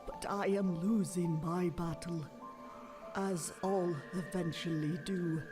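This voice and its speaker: A woman playing a dying zebra possessed by rot, rasping, wheezing and pleading in halting, labored phrases. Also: on the low side, fairly even intonation, far from the mic, music in the background. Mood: weary, sorrowful. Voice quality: raspy, wheezing whisper.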